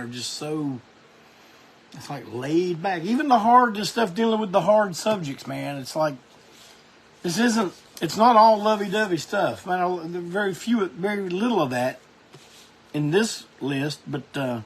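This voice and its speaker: A man's voice: on the high side, animated, normal speed, slightly rough. A man hosting a music show, talking casually and enthusiastically about records, rambling with lots of restarts.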